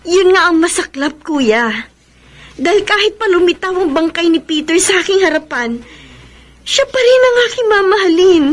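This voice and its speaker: A woman's voice: extremely high pitch, expressive, moderate pace. An actress playing a heartsick young woman clinging to a lost love in a Filipino radio melodrama, with emotional, dramatic delivery. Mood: anguished, tearful.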